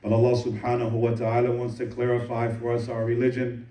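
A man giving a Friday sermon as imam, reciting Arabic verses quickly and translating them into English. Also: mid-range voice, monotone, warm tone. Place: mosque prayer hall. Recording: echoey room, voice from a distance.

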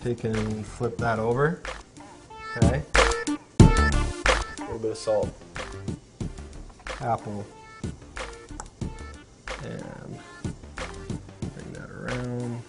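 A man's voice: medium pitch, very slow, creaky, long pauses, loud music behind the voice. A young man hosting a cooking show, talking casually step by step as he cooks in a home kitchen. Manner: relaxed step-by-step narration while cooking. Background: frying and sizzling from the pan.

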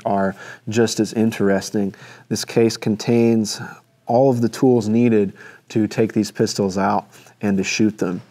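A young man presenting and describing a pair of antique pistols in a measured, detailed way, seated at a table in an indoor studio set. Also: on the low side, warm tone. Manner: measured, detailed describing while handling objects. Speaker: firearms presenter. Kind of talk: informal product walkthrough.

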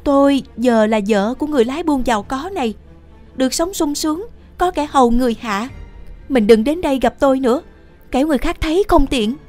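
A woman puts on a cold young wife in a cartoon fairy tale. She is greedy, haughty and dismissive, her pitch raised. Faint music plays behind. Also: lively intonation, fairly quick, darkish tone. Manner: scornful, dismissive.

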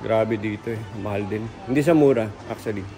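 A man's voice: fairly low pitch, brisk, warm tone, soft background music. A Filipino man in his thirties giving a chatty, teasing tour of a big indoor furniture mall, recorded on a camera held close as he walks. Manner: chatty, teasing, tour-guide banter mixing Tagalog and English. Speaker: vlogger. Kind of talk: casual vlog narration. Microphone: handheld camera held close.

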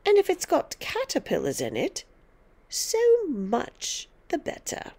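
A woman's voice: high-pitched, huge pitch swings, takes it slowly, darkish tone, pitched above the performer's own voice, heavily acted. A woman voicing a tiny, bossy, mean old grandmother who scolds and snaps with gloating emphasis in a storybook reading. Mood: bossy, snapping.

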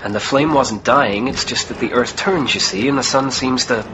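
A man's voice: mid-range voice, moderate pace, lo-fi sound, lightly acted. A man playing a droll priest over noisy ambience. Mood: gently playful. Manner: droll, gently teasing. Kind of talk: radio play acting. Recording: clearly noisy background ambience.

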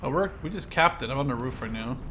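A man in his twenties chatting casually and excitably while leading his squad in a multiplayer game, swearing freely. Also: medium pitch, fast. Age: 20s. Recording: game gunfire and vehicle noise behind. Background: gunfire, explosions, vehicle engines from game.

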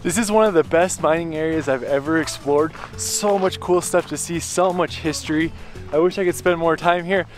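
A man's voice: a little high, normal speed, soft background music. A man in his thirties talking casually to a camera he holds at arm's length, chatty and upbeat as he walks around outdoors. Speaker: travel vlogger on camera. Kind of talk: casual on-the-spot vlog talk. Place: outdoors on cold mining site and hotel interior. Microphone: camera held at arm's length.

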